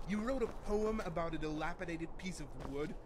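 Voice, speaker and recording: A man's voice: on the high side, a little fast, lightly acted. A man voicing a haughty, vain bard in a fantasy video game, pompous and sneering. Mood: scornful. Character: haughty rival male bard, scornful and vain. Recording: clearly noisy game ambience.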